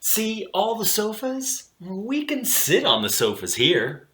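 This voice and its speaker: A self-assured storybook dog who runs the club , played by a man. His delivery is unhurried and explanatory, with big swings in pitch. The sound is bright and roomy. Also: huge pitch swings, a little hiss or hum, full character voice. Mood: proud. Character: male pet dog, cool self-assured club boss. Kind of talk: storybook character voice.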